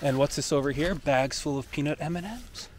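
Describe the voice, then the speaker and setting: A man's voice: a little high, a little fast, slight background noise. A man giving a playful walk-through of a decorated outdoor trail at night, narrating in a theatrical storybook manner while holding the camera.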